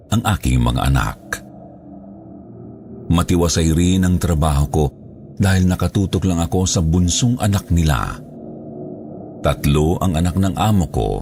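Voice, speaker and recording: A man with an extremely low voice full of gravelly fry narrates a scripted horror story. His pitch moves in lively swings from phrase to phrase. Music plays behind him. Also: slight background noise. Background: background music.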